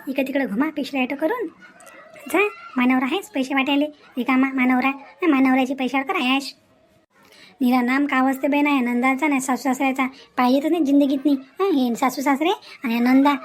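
A woman's voice: high-pitched, close to an everyday voice. A woman playing a spiteful daughter-in-law in a cartoon family drama, muttering sarcastic complaints with a Varhadi Marathi accent. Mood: resentful. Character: spiteful grumbling daughter-in-law resenting her husband's family.

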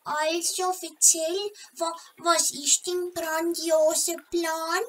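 A woman's voice: extremely high pitch, level tone, slow, fairly bright tone, distant mic, slight background noise, exaggerated voice. A woman acting a tiny, nervous, stuttering flea in a children's audio play. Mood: exasperated.

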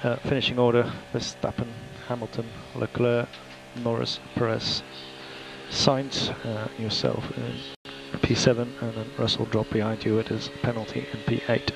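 A man's voice: medium pitch, level tone, moderate pace, vocal fry. A male race engineer giving clipped, matter-of-fact instructions to a driver over team radio, repeating key phrases. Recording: noisy team radio with car sounds.

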